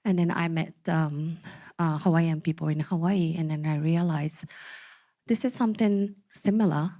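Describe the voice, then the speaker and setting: A woman in her forties from Okinawa with a Japanese accent, giving a talk to a community gathering, halting and full of fillers. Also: sits low, fairly even intonation, mellow. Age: 40s. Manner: halting, many fillers and restarts. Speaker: speaker.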